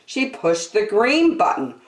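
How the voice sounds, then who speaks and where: A woman hosting story time, reading a children's book aloud in an expressive, deliberate, sing-song way. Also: medium pitch. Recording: echoey room.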